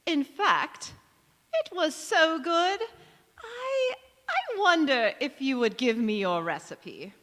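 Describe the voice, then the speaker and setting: A woman's voice: sits high, sing-song, takes it slowly, a bit bright, full character voice. A woman playing a doting, condescending older mother-in-law in 1940s radio comedy, cooing and mock-polite. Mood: sweetly catty. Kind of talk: 1940s radio comedy acting, staged revival. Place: community theatre stage in a church. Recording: echoey hall, long reverb.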